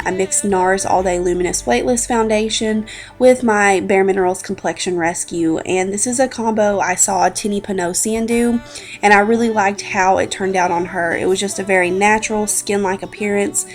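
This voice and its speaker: A woman in her twenties with a congested, slightly scratchy voice, chatting informally as a beauty vlogger narrating a makeup routine. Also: medium pitch. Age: 20s. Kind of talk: casual makeup tutorial narration. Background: background music.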